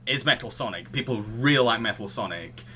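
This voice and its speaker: Male podcast host, bright tone, casual analytical film chat, halting delivery, faint background noise. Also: mid-range voice, animated.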